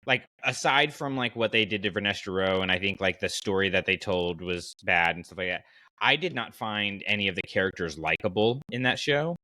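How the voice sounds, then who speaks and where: A man talks casually as a podcast host in a slightly low, bright voice. The sound is dry, with very little room to it. He is conversational and opinionated, working through his thoughts out loud. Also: lively intonation, fairly quick, no room sound. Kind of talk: casual podcast chat about fiction.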